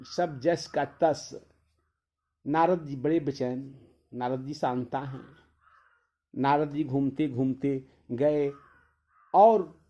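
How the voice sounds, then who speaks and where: A man with a somewhat high voice delivers a devotional discourse at a very slow pace. He tells the scriptural story in halting phrases that often circle back and repeat. The sound is dull and lo-fi. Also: warm tone. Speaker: religious storyteller.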